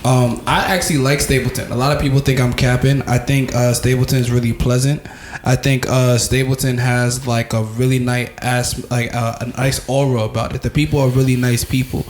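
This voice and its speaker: A young man hosts his podcast, bragging and loose, with plenty of slang and fillers. His delivery stays flat and even in pitch. Faint background noise sits under the voice. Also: fairly quick. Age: young adult. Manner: boastful, slangy, lots of fillers. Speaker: podcast host. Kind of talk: casual interview chat.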